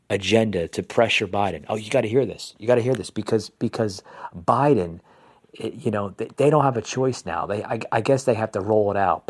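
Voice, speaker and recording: A man with a fairly low voice hosts a podcast and rants about the news, calling his listeners "folks." He often stumbles into repeated words and restarts. He is from New Jersey. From New Jersey, USA. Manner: ranting, addresses listeners as folks; repetitive restarts, stammering repeats. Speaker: podcast host. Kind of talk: opinionated political commentary.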